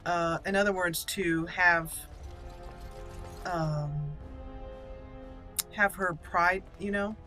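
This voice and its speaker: A woman with a very bright, crisp-edged voice narrates a video game casually. She thinks aloud in a playful way and slips into character, with faint music behind her. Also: fairly low pitch, fairly even intonation, very slow, pauses often. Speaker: gaming channel host, role-player. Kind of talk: casual let's play commentary with in-character lines.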